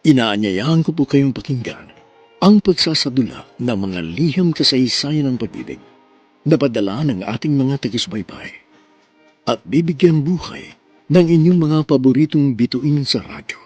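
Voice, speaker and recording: A man's voice: medium pitch, lively intonation, normal speed, darkish tone, breathy edge, soft background music. A man hosting and narrating a radio romance drama in an earnest, sentimental storytelling manner.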